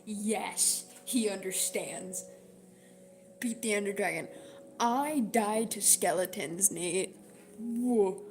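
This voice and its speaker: Hoarse woman streamer, echoey room, loud music. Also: mid-range voice. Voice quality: hoarse, breaking up at times. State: hoarse, sore strained voice from singing. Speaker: livestream host, singer. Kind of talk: casual livestream chat with viewers. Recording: roomy echo, loud music behind. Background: loud background music.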